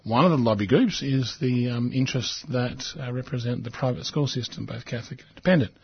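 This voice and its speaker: Hoarse Australian man, talk radio host, husky and dry, lo-fi sound. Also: medium pitch, fairly quick, dry recording. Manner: hesitant, many ums, repeats words. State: hoarse, croaky voice. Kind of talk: casual talk radio commentary.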